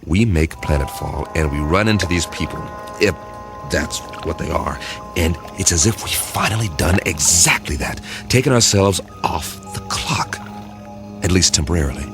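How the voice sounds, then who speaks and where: An American man playing a measured, thoughtful spaceship captain with quiet authority in a radio drama. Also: low voice, animated, music in the background. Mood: reflective. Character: steady, thoughtful spaceship captain leading his crew.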